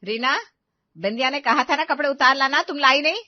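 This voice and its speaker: महिला की आवाज़ में सख्त, डाँटती हुई सास. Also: female voice, medium pitch, lively intonation, normal speed, dry recording, natural voice. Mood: exasperated. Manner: bossy, lecturing. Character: stern, scolding middle-aged Indian mother-in-law. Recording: slightly dull, dry recording.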